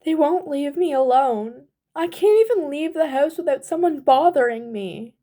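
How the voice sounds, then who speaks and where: A woman's voice: high voice, slow, warm tone, pitched above the performer's own voice. A woman voicing a frightened, shy teenage boy who speaks in a quavering, tearful way.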